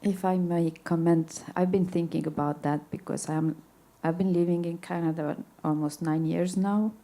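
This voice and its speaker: A woman's voice: lowish voice, fairly even intonation, normal speed, warm tone. A woman moderating a conference session, explaining her view in a conversational way. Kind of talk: conference discussion.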